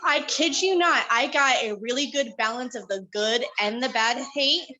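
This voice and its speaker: Animated high-voiced woman telling a story. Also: moderate pace, slight background noise, poor audio. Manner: animated, acts out her own thoughts as quotes. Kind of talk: casual storytelling in a workshop.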